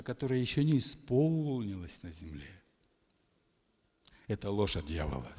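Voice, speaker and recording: A man preaching a charismatic sermon to a congregation, emphatic, swinging from hushed lines to shouted exclamations. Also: mid-range voice. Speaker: pastor. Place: church hall. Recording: long hall reverb.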